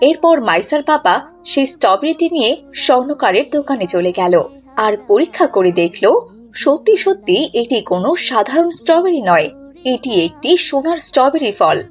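A woman's voice: sits high, very animated, brisk. A woman narrating a scripted children's cartoon story.

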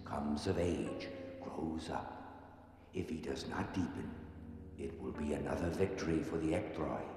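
A man voicing a solemn, wise cherubim guide in an audio drama, grave and deliberate, sometimes breathy. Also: rumbling low. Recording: cavernous long reverb, music underneath.

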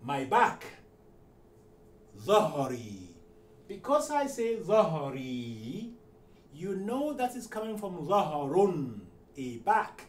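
A middle-aged man teaching a language lesson at a whiteboard. He goes slowly, repeats each phrase several times, and says the model phrases louder and higher. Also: sing-song. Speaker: Arabic teacher. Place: room in front of a whiteboard. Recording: roomy, distant, faint hiss.

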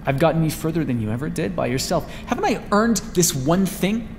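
A man performs a desperate, sardonic vampire scientist in an audio roleplay. His speech is formal and dramatic, swinging widely in pitch with a gravelly fry, inside a reverberant, noisy space. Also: very animated, a little fast. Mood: indignant, defiant. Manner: dramatic, formal wording, often dropping to a whisper. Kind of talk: ASMR roleplay voice acting. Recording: hall-like reverb with clear background noise.

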